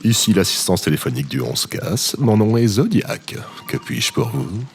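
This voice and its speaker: An actor playing a smug, theatrical, smooth-talking ex-president turned helpline operator in an audio drama. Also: male voice, deep voice, very animated, moderate pace, slight background noise. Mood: flirtatious, suave. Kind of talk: scripted audio drama acting.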